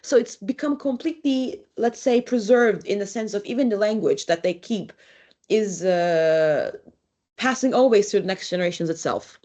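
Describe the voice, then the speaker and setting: A young adult woman from Turkey, giving a university lecture as an architect, speaking in a halting, conversational way with frequent restarts. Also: medium pitch, warm tone. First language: Turkish.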